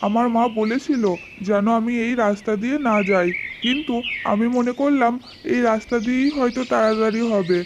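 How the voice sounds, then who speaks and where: A woman's voice: on the high side, muffled recording, noisy background, natural voice. A woman voicing a naive, kind, earnest village boy in a cartoon fairy tale. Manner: earnest, childlike.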